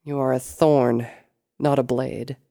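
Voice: mid-range voice, level tone, takes it slowly, warm tone. A narrator voicing a fierce, defiant female pony assassin in an audiobook story, hissing and muttering. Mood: bitter. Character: Sable, fierce defiant unicorn-world mare assassin.

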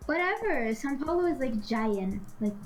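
A young woman with a Brazilian Portuguese accent chats on a live stream, offhand and blunt. Her voice sits somewhat high. Quiet music plays underneath. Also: animated, moderate pace. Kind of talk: casual chat.

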